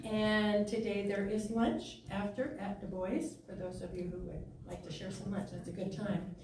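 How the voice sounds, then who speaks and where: A woman's voice: mid-range voice, little pitch movement, normal speed. A woman acting as host, informally welcoming a congregation and giving announcements in a meeting hall. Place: meeting hall of a spiritual center. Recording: echoey hall from far off, noisy.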